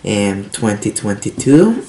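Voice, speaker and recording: Israeli teen guy, hebrew accent, slow nervous english full of ums, kinda gravelly, echoey room. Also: fairly low pitch, sing-song, mellow. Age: teens. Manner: nervous, halting non-native English with many fillers. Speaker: tech vlogger. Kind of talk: casual unboxing and review vlog. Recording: roomy echo, faint hiss.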